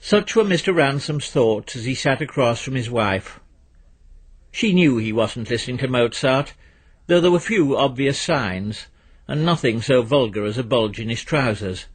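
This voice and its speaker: A man reads literary fiction aloud in a measured, dryly understated way, his voice a little husky. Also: mid-range voice, expressive. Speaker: narrator reading fiction aloud. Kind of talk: scripted literary narration.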